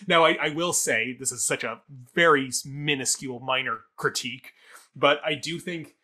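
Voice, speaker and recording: A man's voice: medium pitch, moderate pace, sharp tone. A man co-hosting a review podcast, casually analytical and often qualifying his own points. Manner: tentative, self-qualifying, analytical. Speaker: theatre review podcast co-host.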